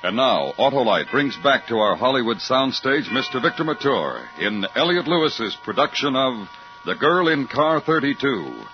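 A male announcer introduces an old radio thriller with formal drama. Music plays clearly under his medium voice. The recording is dull and dated. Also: medium pitch, big pitch swings, normal speed. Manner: formal, theatrical.

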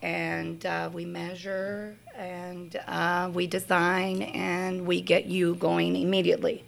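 A woman's voice: lowish voice, level tone, creaky. A young woman from Argentina with an Argentine Spanish accent, a business owner who talks in an eager rush as a guest in a radio studio. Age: young adult. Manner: rushed, eager. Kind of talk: studio interview.

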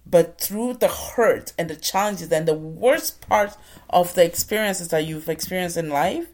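Low-voiced earnest woman giving an inspirational talk. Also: unhurried, a little mellow. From Ghana. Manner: earnest, preacher-like addresses to the audience. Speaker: motivational speaker.

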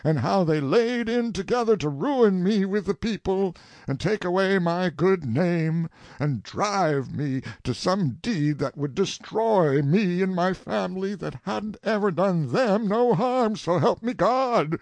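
A man voicing an old country man confessing to a killing in court, impassioned and slow, in folksy rustic dialect. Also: high voice, animated, dry recording. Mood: anguished raging.

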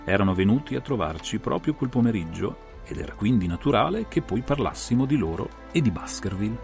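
A man's voice: on the low side, expressive, brisk, creaky. A man narrating a literary audiobook in a dramatized, storytelling style. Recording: music behind the voice. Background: music.

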